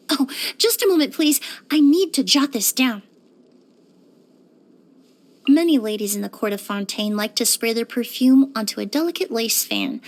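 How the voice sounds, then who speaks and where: A young woman game character, a composed perfumer. Her voice sits high, and she speaks with measured courtesy. The delivery is scripted, softly formal and even-tempered. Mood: calm, thoughtful.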